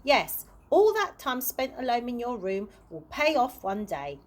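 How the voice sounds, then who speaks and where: A woman voicing a kindly, wistful old male tramp from a children's storybook, earnest and gently encouraging. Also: on the high side, big pitch swings, unhurried.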